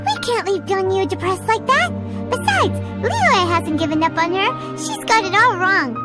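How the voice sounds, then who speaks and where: A woman voicing a tiny, excitable, childlike fairy girl companion in a video game, with a squeaky voice and a blunt, chirpy manner. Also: moderate pace. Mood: frank, concerned. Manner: chirpy, blunt, talks in third person. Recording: loud jingly music behind.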